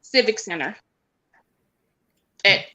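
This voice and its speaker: Bright-voiced woman guest. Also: mid-range voice, expressive, fairly quick. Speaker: show guest promoting a stage play. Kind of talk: casual interview chat.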